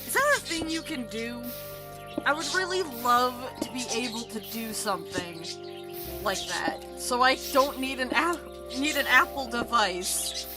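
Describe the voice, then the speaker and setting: Rough-voiced woman streamer over music. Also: fairly high pitch, animated, a little slow. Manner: rambling, swearing, exasperated. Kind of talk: casual live stream chat while gaming. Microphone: desk microphone with mute button. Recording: music playing under the voice. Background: background music.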